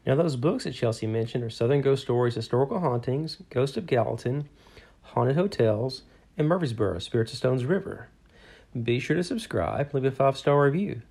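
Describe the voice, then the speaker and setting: A man co-hosting a podcast, reading a scripted history in a steady, measured way. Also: mid-range voice, creaky. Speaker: podcast co-host. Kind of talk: scripted narration.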